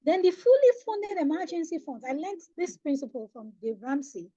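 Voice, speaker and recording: A woman's voice: sits high, big pitch swings, moderate pace, dark tone, no echo, lo-fi sound. A Nigerian woman coaching young people on money in an online webinar, emphatic and preacherly, often putting questions to her listeners. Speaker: financial coach. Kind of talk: webinar talk on personal finance. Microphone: online webinar audio.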